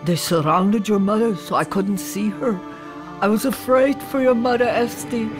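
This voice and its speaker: A woman playing a weary refugee father who tells his daughter about her birth, hushed and grave, slipping German words into his talk. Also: medium pitch, moderate pace, natural voice. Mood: tender, grave. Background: music.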